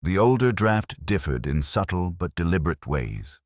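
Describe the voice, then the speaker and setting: A man with an extremely low voice reads a scripted mystery. His delivery is measured and brooding, with pitch that moves expressively through each literary sentence. The sound is dry and close, free of room echo. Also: very animated. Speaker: narrator of a detective story. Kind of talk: scripted first-person mystery narration.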